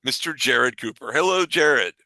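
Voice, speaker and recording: A man hosting a podcast in a casual, bantering way, with a jokey manner and some drawn-out words. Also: medium pitch, lively intonation, sharp tone, no echo. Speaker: podcast host.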